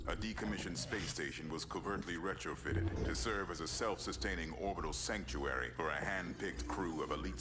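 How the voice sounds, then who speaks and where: An actor playing a stern, commanding military general in a video game, speaking in a clipped, solemn way. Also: male voice, deep voice, very noisy, natural voice. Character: stern, grave commanding army general, middle-aged or older man. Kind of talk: video game cutscene acting. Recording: loud music bed, radio chatter.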